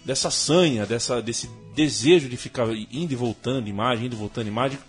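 A man's voice: medium pitch, fast talker, faint music behind. A man from Brazil hosting a casual sports talk show, thinking aloud and restarting his phrases often. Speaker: host.

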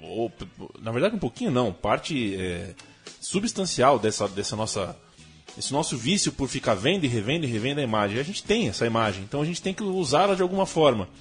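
A man's voice: mid-range voice, sing-song, brisk, soft background music. A man from Brazil hosting a casual sports talk show, thinking aloud and restarting his phrases often. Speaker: host.

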